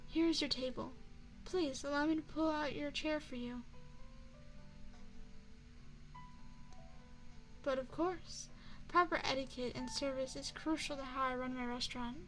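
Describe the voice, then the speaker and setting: A woman voicing a courteous female vampire chef and restaurant owner in an ASMR roleplay, speaking in a formal, measured, refined way. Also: high voice, steady tone, faint music behind, lightly acted. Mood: formal, welcoming. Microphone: binaural microphone.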